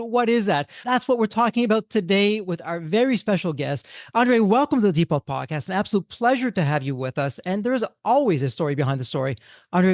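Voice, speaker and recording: A man's voice: sits high, dry recording, studio quality. A man hosting a business podcast, speaking emphatically with rhetorical questions and a promotional tone. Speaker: podcast host. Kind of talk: podcast host intro and interview.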